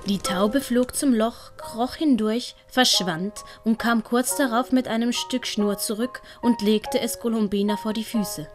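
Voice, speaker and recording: A woman's voice: fairly high pitch, unhurried, few pauses. A woman with a Swiss accent reading scripted audiobook narration as the storyteller. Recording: background music.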